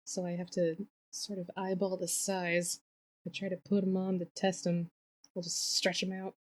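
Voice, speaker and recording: A woman's voice: lowish voice, level tone, normal speed. A woman co-hosting a podcast in casual chat, with a playful, irreverent and sweary way of talking. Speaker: podcast co-host.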